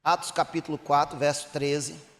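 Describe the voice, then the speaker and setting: A Brazilian pastor from Minas Gerais with a Mineiro accent, preaching a sermon that rises to emphatic bursts and drops low again. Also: male voice, little pitch movement, normal speed, fairly bright tone, big-room sound. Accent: Mineiro Brazilian Portuguese. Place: church hall.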